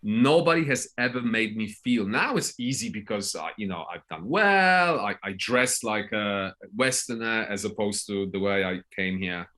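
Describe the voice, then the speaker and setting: Low-voiced Polish man, blunt and casual. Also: expressive, moderate pace, hardly any pauses, bright, forceful tone. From Poland. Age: 30s. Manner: blunt, sweary, tags phrases with "right?". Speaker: podcast guest, coach. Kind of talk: casual interview storytelling.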